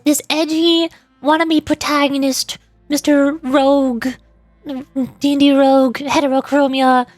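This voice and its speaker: A woman's voice: high-pitched, no room sound. A young woman doing casual livestream game commentary as a VTuber, playful, teasing and rambling.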